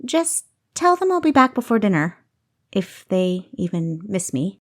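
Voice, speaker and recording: A woman's voice: mid-range voice, big pitch swings, unhurried. Woman voicing a headstrong, curt young noblewoman. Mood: brisk, guarded. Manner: curt, frosty. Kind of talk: audiobook character acting.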